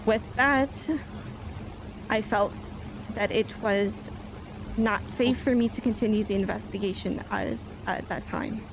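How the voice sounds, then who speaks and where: A woman's voice: mid-range voice, fairly even intonation, a little slow, vocal fry, background noise. A woman playing a police officer on the witness stand, speaking in stiff, formal report phrasing. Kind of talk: formal courtroom testimony in a game roleplay.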